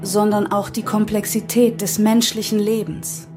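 A woman's voice: mid-range voice, flat delivery, moderate pace, music in the background. A woman reading scripted crime-story material in a dramatic narration style.

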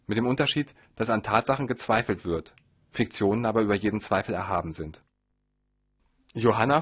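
A man narrating his own story from a script in a measured, literary storytelling manner. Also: lowish voice. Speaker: narrator and filmmaker.

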